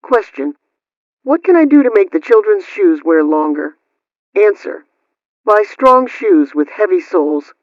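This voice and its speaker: A woman's voice: medium pitch, big pitch swings, unhurried. The sound is a dull, narrow vintage radio broadcast with very little room echo. A woman plays a prim homemaking hostess of the 1920s, reading scripted household advice. Her delivery is formal and instructional. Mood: formal, instructive. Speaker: host. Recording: dull, band-limited old-radio sound.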